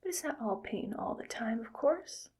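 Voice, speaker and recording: A woman voicing a cheerfully callous female evil scientist in an ASMR roleplay, speaking in a soft close whisper and musing aloud as she works. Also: a little high, animated, moderate pace, husky. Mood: musing, calm.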